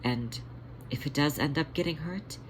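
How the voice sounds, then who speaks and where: Soft-spoken motherly cat , acted by a narrator, noisy recording. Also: mid-range voice, normal speed, pitched above the performer's own voice, close to an everyday voice. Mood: tender. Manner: soft, soothing, coaxing. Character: motherly female cat, gentle, reassuring caretaker.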